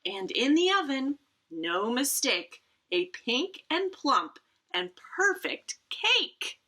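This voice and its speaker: A woman reading a picture book aloud to children in an expressive style, with dramatic emphasis and playful asides. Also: sits high, slow pace.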